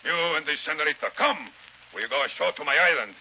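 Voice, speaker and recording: Menacing Spanish-accented pirate captain, piercingly bright voice. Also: male voice, a little high, animated, fast, exaggerated voice, poor audio. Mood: commanding. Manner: bullying, gloating, broken English. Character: gloating sadistic pirate captain, fat, menacing bandit boss. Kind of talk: 1930s radio drama acting. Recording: hissy 1930s radio recording.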